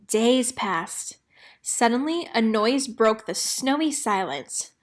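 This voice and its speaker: Female storybook narrator, fairly high voice, expressive scripted read-aloud for children. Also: takes it slowly. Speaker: storybook reader.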